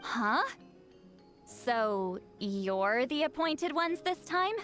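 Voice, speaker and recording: High, haughty shaman woman. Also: big pitch swings, very slow, over music, heavily acted. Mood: hesitant. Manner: haughty, hesitant when flustered. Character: proud, easily flustered great shaman woman, aloof granny. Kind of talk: anime game voice acting.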